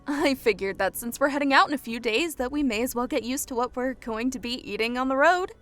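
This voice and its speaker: A high-pitched young fantasy woman, acted by a woman, cheerful and clingy with a playful, chatty way about her. She speaks as an audiobook character, with music under her lines. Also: a little fast, a bit bright.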